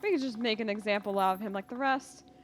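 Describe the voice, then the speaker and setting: A woman voicing a cruel, gloating male slave trader in a fantasy audio drama, speaking in a mocking low drawl. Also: fast, a little hiss or hum, lightly acted.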